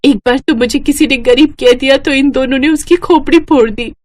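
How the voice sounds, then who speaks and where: A woman's voice: high-pitched, moderate pace, a little mellow, pitched above the performer's own voice, close to an everyday voice. Woman voicing an eager, affectionate teenage schoolgirl. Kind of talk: animated moral story character acting.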